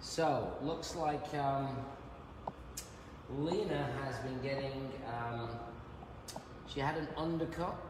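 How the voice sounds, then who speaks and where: A man in his fifties giving a live haircut lesson in a big salon, chatty and joking as he works, his voice a little croaky. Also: medium pitch, slow. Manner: chatty, joking, teaching as he cuts. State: slightly croaky voice. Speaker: hairdresser and educator. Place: large bright hair salon, indoors. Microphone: phone camera held nearby. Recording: echoey salon room with noise.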